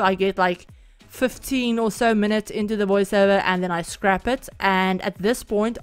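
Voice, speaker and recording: Chatty Afrikaans-speaking woman, rambling voiceover. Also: mid-range voice. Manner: rambling, chatty, many "like" and "yeah" fillers. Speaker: Sims speed-build YouTuber. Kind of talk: casual game voiceover. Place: home, at night.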